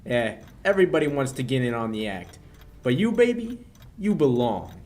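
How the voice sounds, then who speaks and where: A young man playing a smooth-talking crook in a 1940s radio play, breezy and sardonic with menace underneath. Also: mid-range voice, big pitch swings, normal speed, darkish tone, natural voice. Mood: smug. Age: young adult.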